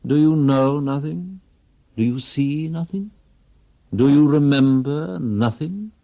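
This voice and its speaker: A man's voice: fairly high pitch, slow, creaky, dark tone, dry recording, highs cut off, pitched above the performer's own voice. A man voicing a nervous, demanding woman who questions insistently and restlessly in a dramatic poem reading. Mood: anxious, accusing.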